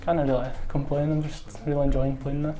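A young Scottish man speaks quietly and modestly after a match, pausing often with fillers. His voice sits a little high but stays flat and mellow. A faint background hum runs under the indoor recording. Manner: soft-spoken, modest, hesitant with fillers. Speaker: footballer. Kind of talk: post-match interview. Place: indoors in front of a club sponsor board.

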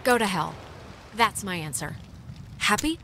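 A woman playing a quick-witted, sardonic and defiant teenage girl in a naturalistic video game. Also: mid-range voice, animated, bright, forceful tone, slight background noise. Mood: fed up. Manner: snarky, casual, blunt.

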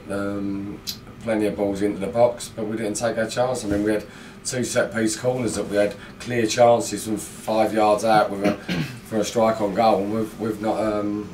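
A middle-aged football manager talks bluntly about a loss, pausing on fillers in a low, flat voice. He is recorded in a small room that rings with echo, heard from a mic set back from him. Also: recorded from a distance, a little hiss or hum. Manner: frustrated, blunt, rambling with fillers. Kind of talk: post-match interview. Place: small indoor club room.

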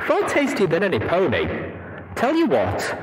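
A man's voice: high voice, huge pitch swings, normal speed, reverberant, background noise, pitched above the performer's own voice. A man voicing a scheming pegasus mare mayor, a wheedling villain in a story reading.

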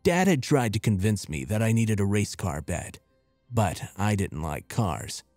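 A man reading a scripted horror story in a measured, deliberate and expressive way. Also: fairly low pitch, no room sound, studio quality. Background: music.

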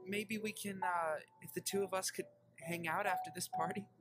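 A woman voicing a shy, flustered young pony with a crush in an animated drama, speaking hesitantly and stammering. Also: fairly low pitch, normal speed, music in the background, natural voice. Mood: nervous, smitten. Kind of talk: animated fan drama acting.

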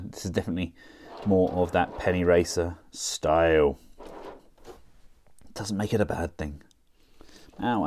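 A man talking casually through a toy review, chatty and relaxed with frequent fillers as he works the figure with his hands. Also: sits low, slight background noise. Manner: relaxed, chatty, thinking aloud with many fillers.

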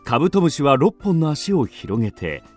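A man's voice: on the high side, sing-song, normal speed, faint music behind. A man narrating a children's radio drama as a scripted storyteller.

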